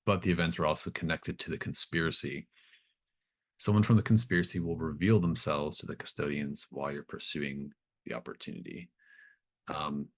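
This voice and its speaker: A man acting as game master, speaking casually and haltingly as he checks in with his players. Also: sits low. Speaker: game master of a tabletop RPG. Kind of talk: casual, unscripted game running.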